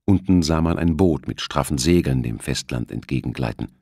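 Deep-voiced man, lively audiobook narrator. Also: normal speed, husky, no room sound, clean studio sound. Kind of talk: scripted novel narration with acted dialogue.

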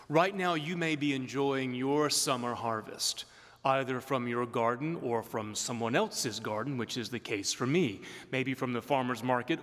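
A middle-aged man delivers a homily as a priest, his voice set at an average male pitch. He explains in measured phrases and leans on key words for emphasis. The sound carries a long reverberant tail from a big stone church. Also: fairly bright tone. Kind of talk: sermon. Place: large stone church, from a pulpit.

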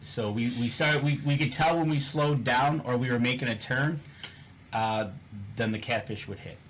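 A man's voice: medium pitch, normal speed, fairly bright tone, a little hiss or hum, poor audio. A man casually recounting a fishing trip over photos, rambling with many fillers and repeated words.

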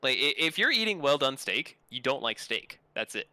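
A man's voice: mid-range voice, very animated, moderate pace, bright, forceful tone. A man bantering casually as a player on a group game stream, blunt and opinionated.

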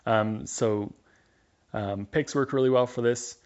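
A man's voice: mid-range voice, takes it slowly, darkish tone, muffled recording. A man giving a casual, matter-of-fact instructional voice-over as a hands-on mechanic.

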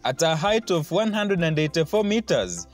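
A man's voice: on the high side, sing-song, normal speed. A man narrating a sightseeing tour as a travel vlogger. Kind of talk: tour guide narration over video. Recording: loud music under the voice. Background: loud background music.

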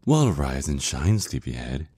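ASMR roleplay casting: jealous male vampire ex, acted by a man, extremely low dark voice, slow seductive taunts. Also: big pitch swings, no echo, exaggerated voice. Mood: smug, menacing. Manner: slow, teasing, drawn-out menacing murmur.

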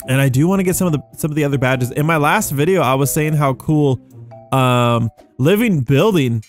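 A man's voice: fairly high pitch, animated, fairly quick, faint music behind. A young adult man giving casual, rambling gameplay commentary, easily amazed and thinking aloud as he hosts. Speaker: gaming video host.

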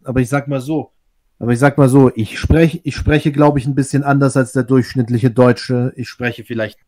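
A man talks through his views in a relaxed online discussion. He is thoughtful and often starts sentences over, at a middling pitch. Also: moderate pace. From Russia. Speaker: discussion guest. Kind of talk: casual group chat.

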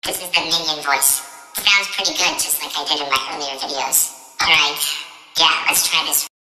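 A very sharp cartoon minion a man through a voice changer chats casually. The processed voice rings with echo and reverb. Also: fairly high pitch, normal speed, voice pushed up. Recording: echoey, reverberant processed sound.

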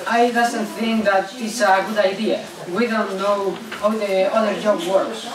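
A woman's voice: medium pitch, steady tone, unhurried, a crowd in the background, natural voice. A young woman voicing a stern, unforgiving fisherman brother in an amateur puppet play. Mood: reluctant. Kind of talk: amateur puppet show acting. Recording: echoey room, distant, noisy.

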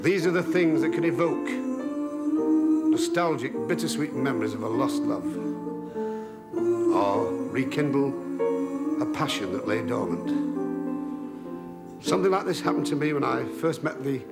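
A middle-aged man hosting a comedy show in deadpan, mock-grandiose patter. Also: mid-range voice, measured pace, pauses often, over loud music. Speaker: comedian host. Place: TV studio stage.

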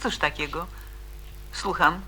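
A woman's voice: fairly low pitch, takes it slowly, sharp tone, a little hiss or hum, rough recording. An actress playing a worried, strict mother in a radio drama, questioning with reproach and scepticism. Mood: reproachful.

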